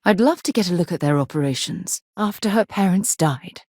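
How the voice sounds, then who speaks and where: An actress playing a resolute, principled woman space explorer in a video game, with a husky voice and an earnest, articulate way of speaking. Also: on the low side, animated, fairly quick, darkish tone, no echo, studio quality. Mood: somber, reflective. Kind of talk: video game character acting.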